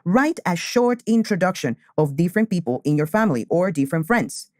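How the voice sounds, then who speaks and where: A man, teaching beginner English and repeating key phrases slowly. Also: high voice, big pitch swings, a little mellow, no echo, clean studio sound. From Colombia. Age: 20s.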